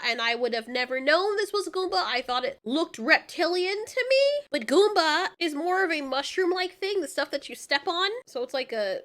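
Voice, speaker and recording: High, bright-voiced woman doing exasperated commentary. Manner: exasperated, incredulous, slangy. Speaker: online movie reviewer and commentator. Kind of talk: casual comedic movie recap commentary.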